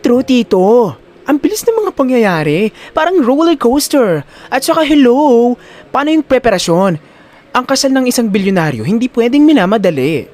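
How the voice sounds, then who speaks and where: Guy doing a dramatic young rich girl, sassy n flippant, high voice swinging all over, audio drama. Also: big pitch swings, normal speed, a little mellow. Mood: mock-alarmed. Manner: exclamatory, flippant.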